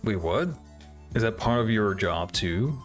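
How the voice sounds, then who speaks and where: A man's voice: lowish voice, lively intonation, unhurried. A man hosting a casual reaction video, thinking aloud in hesitant fragments. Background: game trailer music.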